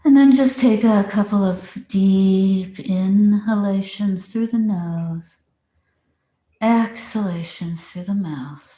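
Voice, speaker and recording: A woman meditation teacher leading a guided meditation with deliberate phrasing and long pauses. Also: medium pitch, mellow. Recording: roomy, echoing space.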